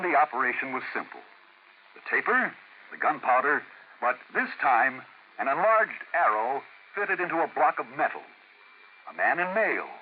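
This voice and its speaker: Dramatic male announcer, lively pitch. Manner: measured, declamatory. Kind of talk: dramatic scripted 1950s radio narration. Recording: tinny, faintly noisy old radio.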